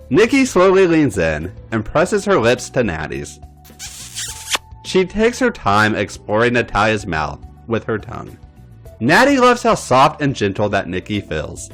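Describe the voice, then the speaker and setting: A man narrating a story aloud as a podcast host, with an expressive reading style. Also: medium pitch.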